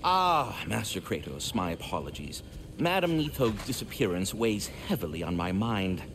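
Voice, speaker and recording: A man's voice: lowish voice, big pitch swings, moderate pace, a bit bright, slight background noise. An actor voicing a courteous, wordy, fatherly giant squirrel with flowery formal speech in a video game. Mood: polite. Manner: verbose, formal, flowery diction. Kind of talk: theatrical video game acting.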